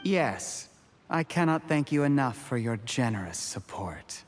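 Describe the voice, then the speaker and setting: An actor playing a humble, ambitious commoner in a game, deferential and eager to please. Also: male voice, mid-range voice, sing-song, measured pace, a little hiss or hum. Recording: game audio with long reverb.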